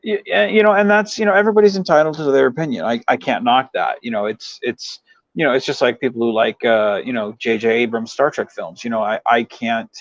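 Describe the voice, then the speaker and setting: A man's voice: mid-range voice, dry recording, muffled recording. A man hosting a livestream in casual chat with viewers, rambling and leaning on verbal tics as he talks. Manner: rambling, frequent "you know" verbal tic. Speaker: livestream host.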